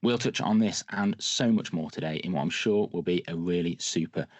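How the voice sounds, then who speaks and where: A man's voice: low-pitched, brisk, dry recording. A man hosting a podcast, welcoming his guest and asking questions with upbeat encouragement. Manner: enthusiastic, encouraging interviewer. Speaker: podcast host. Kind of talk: podcast interview.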